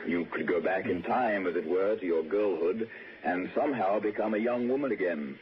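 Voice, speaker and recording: An actor playing a genteel, reassuring father in a 1960s radio suspense play, speaking formally and with gentle probing. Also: deep voice, moderate pace, lightly acted. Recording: muffled old radio drama with faint noise.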